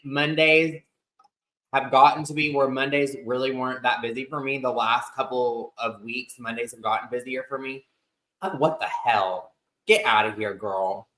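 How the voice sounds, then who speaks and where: A man's voice: mid-range voice, sharp tone, poor audio. A man in his thirties co-hosting a casual podcast, chatty and rambling with lots of fillers. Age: 30s. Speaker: podcast co-host. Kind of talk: casual chat.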